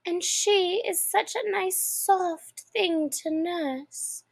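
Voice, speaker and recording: A woman voicing a polite, eager young girl in a storybook, chatty and quick to apologise. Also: very high voice, creaky, pitched above the performer's own voice, exaggerated voice. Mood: soothing, dreamy. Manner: apologetic, soothing, dreamy rambling. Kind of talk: storybook character voice.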